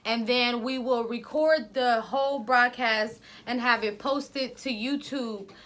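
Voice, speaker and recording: Woman podcast co-host, loud, chatty, rambling, pitched a bit high. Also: slow. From Ohio, US. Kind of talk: casual podcast chat.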